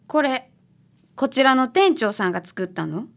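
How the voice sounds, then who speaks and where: A radio drama shopkeeper acted by a woman, a bright, assertive woman of about thirty. Her voice is fairly high and carries a Kansai accent. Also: sing-song, moderate pace, gravelly, full character voice. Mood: accusing.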